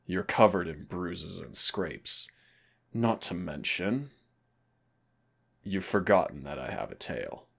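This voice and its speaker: A man playing a male demon roommate in an intimate audio roleplay, gravelly and smug, teasing and menacing yet tender as he speaks straight to the listener. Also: expressive, a bit bright, full character voice. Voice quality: gravelly, low. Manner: slow, smug, teasing, addresses listener directly.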